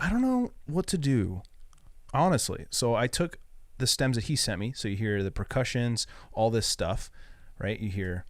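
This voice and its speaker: A man's voice: lowish voice, clean tone, no echo. A young man, a music producer, casually and cheerfully walking through how he built a remix. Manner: upbeat, explanatory, casual. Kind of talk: explaining a remix in a studio walkthrough.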